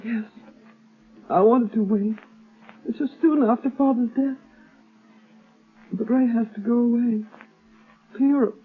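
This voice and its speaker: A woman's voice: on the high side, measured pace, long pauses, husky. A woman playing a sorrowful, hesitant young orphaned heiress in a 1930s radio drama. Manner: hesitant, plaintive. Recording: tinny vintage radio recording.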